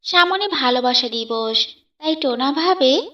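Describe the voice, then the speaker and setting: A woman's voice: sits high, animated, unhurried, sharp tone, recorded from a distance, muffled recording. A woman narrating a scripted children's cartoon story.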